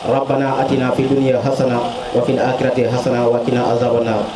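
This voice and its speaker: A man leading a religious blessing, reciting prayers in a rapid chant. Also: mid-range voice, flat delivery, roomy sound, over a noisy crowd, rough recording. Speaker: imam giving blessing.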